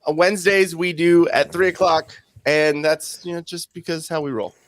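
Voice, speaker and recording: Guy hosting a chill podcast, cusses a lot, slightly high voice, dry mic. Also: fairly even intonation, moderate pace, no room sound. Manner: loose, profane, easily amused. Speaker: podcast host. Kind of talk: casual chat.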